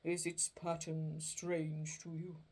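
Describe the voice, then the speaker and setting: A woman voicing a doomed, chained male ghost, speaking slowly and solemnly in a storybook reading. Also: completely flat delivery, darkish tone. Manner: slow, booming and drawn out.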